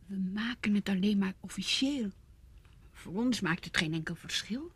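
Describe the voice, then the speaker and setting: An actress in a radio play playing a young, manipulative fiancée, pressing and coaxing. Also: female voice, medium pitch, animated, normal speed, husky, dry recording. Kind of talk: Dutch radio play acting.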